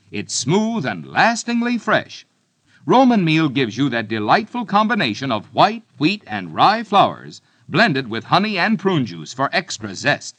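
Male announcer for a vintage radio spot, persuasive, lively, husky and dry. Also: medium pitch, no echo. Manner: smooth, persuasive. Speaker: commercial announcer. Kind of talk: 1950s radio ad read.

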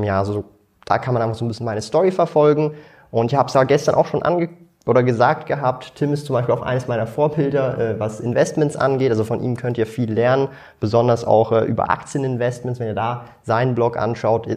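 A man's voice: mid-range voice, a little mellow. Swiss man speaking Swiss Standard German. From Switzerland. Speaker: panelist, finance blogger. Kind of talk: casual panel introduction.

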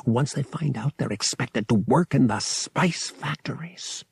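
A man's voice: medium pitch, measured pace, vocal fry, husky, no echo, heavily acted. A man voicing a defiant, cynical young smuggler in an audiobook, with a sardonic, gritty manner. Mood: bitter.

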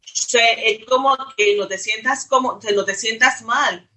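A woman in a casual panel chat, talking quickly and insistently over the others. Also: a little high, bright, forceful tone. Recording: video call.

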